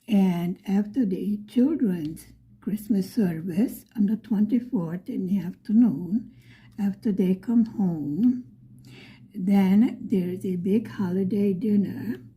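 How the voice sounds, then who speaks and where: Lady from buffalo, whispery husky voice, real slow storytelling. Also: medium pitch, warm tone, rough recording. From Buffalo, New York. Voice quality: frequently whispery, breathy delivery. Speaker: vlogger. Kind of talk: soft-spoken storytelling.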